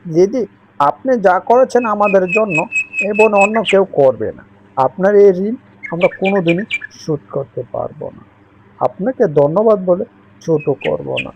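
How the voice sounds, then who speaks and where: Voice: mid-range voice, animated, normal speed, muffled recording, natural voice. A voice actor playing a deceitful female crow who talks in a humble, pleading, ingratiating way. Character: deceitful female crow posing as poor refugee. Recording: noisy recording.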